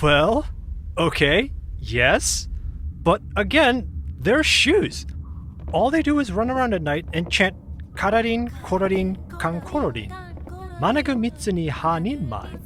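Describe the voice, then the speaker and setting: A woman's voice: mid-range voice, sing-song, a little slow, fairly bright tone, slight background noise. A woman playing an easygoing, slightly sheepish young woman in an audio drama, explaining spirit lore in a matter-of-fact, teasing way.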